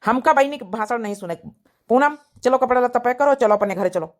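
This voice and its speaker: A woman's voice: on the high side, fairly quick, lightly acted. A woman voicing a hot-headed, protective young village brother in a cartoon comedy drama, insistent and argumentative with an Awadhi accent. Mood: angry, scornful.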